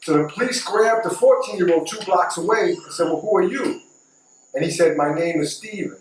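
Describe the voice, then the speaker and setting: Echoey, higher-voiced man telling a story. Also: brisk. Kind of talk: casual anecdotal storytelling. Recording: echoey, distant mic, faint noise.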